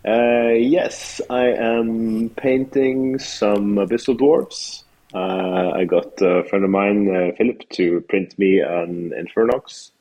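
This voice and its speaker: A man's voice: on the low side, steady tone, slow, dark tone. A Norwegian man from the west coast, a podcast guest speaking English with a Norwegian accent in a matter-of-fact way. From west coast of Norway. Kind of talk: casual chat over a call.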